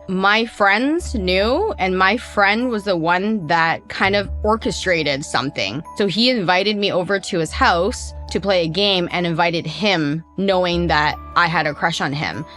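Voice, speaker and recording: A woman's voice: mid-range voice, animated, measured pace, a bit bright. A woman recalling a personal story in a casual, conversational way. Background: music behind the voice.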